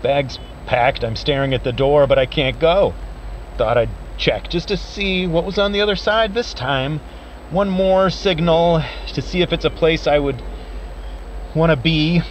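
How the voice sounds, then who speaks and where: An actor playing a weary, resigned man recording a last message in an audio drama, halting and wry. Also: fairly high pitch, muffled recording, natural voice. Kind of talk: found-footage audio drama monologue. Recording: faint background noise.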